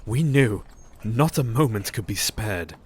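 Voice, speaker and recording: An actor playing a grim, determined hunter in an audio drama, steady and resolute, with faint background noise. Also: male voice, on the low side, measured pace.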